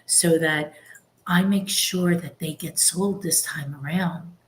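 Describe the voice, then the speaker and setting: A woman hosting a live question and answer session, asking her guest questions and thinking aloud in halting phrases. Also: fairly low pitch, steady tone, low-quality recording. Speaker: host. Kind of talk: live Q&A interviewing.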